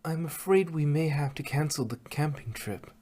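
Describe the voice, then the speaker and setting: A man's voice: on the high side, moderate pace, darkish tone, natural voice. A man voicing a refined, apologetic female pony, a caring older sister who speaks politely and carefully. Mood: regretful.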